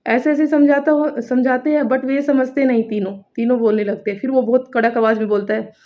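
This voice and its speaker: A woman with a fairly high voice tells a story straight to her viewers. Her delivery is informal and builds suspense, with phrases repeated as she goes. The sound is dull and lo-fi. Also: fairly even intonation, fairly quick. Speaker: storytelling coach and presenter. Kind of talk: spoken storytelling, explaining to viewers. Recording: dull lo-fi recording.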